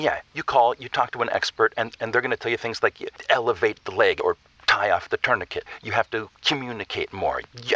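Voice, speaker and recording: A man playing a stubborn, injured hiker calling a radio show, rambling and defensive in halting bursts. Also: lowish voice, pushed and bright. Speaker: caller. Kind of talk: improvised radio call-in drama.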